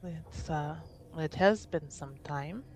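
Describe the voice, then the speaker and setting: A woman's voice: low-pitched, slow pace, a little mellow, slight background noise. A woman playing a guarded half-elven noblewoman in a tabletop fantasy game, speaking formally and with reserve. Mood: guarded, polite.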